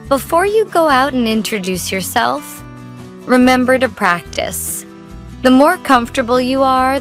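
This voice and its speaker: A woman co-hosting a scripted English lesson, giving clear, instructive tips. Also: a little high. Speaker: co-host. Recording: soft guitar music behind.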